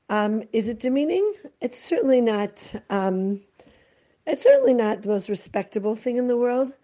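A woman giving her considered opinion, calm and thoughtful, with frequent ums. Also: mid-range voice, highs cut off. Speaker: editorial board member. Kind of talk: recorded opinion piece.

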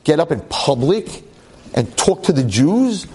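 A man's voice: on the high side, big pitch swings, measured pace, mellow, husky. A man giving a Torah lecture to a class, emphatic and dramatic, repeating phrases and asking rhetorical questions. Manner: public speaker.

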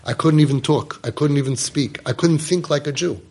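Husky-voiced rabbi giving an animated class. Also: male voice, a little high, a little fast. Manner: animated, mixes Hebrew and Yiddish words into English. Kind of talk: Torah class lecture.

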